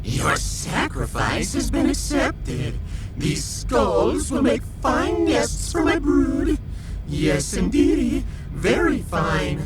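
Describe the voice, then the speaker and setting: Shouting, swooping alien villain. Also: male voice, very high voice, huge pitch swings, takes it slowly, voice pushed up, full character voice. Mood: gloating, menacing. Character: gloating alien brood leader, imperious and menacing villain. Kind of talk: sci-fi audio drama acting. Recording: loud music underneath. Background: loud music.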